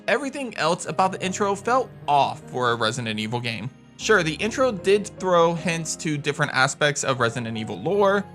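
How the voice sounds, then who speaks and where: A man with a bright, forceful voice pitched a bit high narrates a scripted game countdown. He is emphatic and enthusiastic, with faint music underneath. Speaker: video game countdown host.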